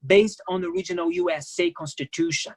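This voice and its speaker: An actress as an earnest ship worker. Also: female voice, on the low side, lightly acted. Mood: earnest, hopeful. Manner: halting, simple non-native phrasing. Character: idealistic young Brazilian cruise-ship worker, earnest and secretive. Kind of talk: dramatic staged play reading.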